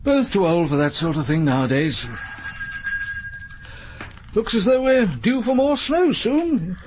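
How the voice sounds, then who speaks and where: A clipped, bluff old army major. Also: male voice, sits high, big pitch swings, unhurried, background noise. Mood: hearty. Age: older. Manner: clipped, terse upper-class speech. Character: bluff older army major, hearty and blunt. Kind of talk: 1940s-style BBC radio acting.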